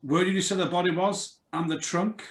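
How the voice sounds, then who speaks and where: A man's voice: high voice, fairly even intonation, fairly quick, natural voice. A man playing a brusque, suspicious police sergeant in an old-style radio play, read over a video call. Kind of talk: 1940s radio acting read aloud.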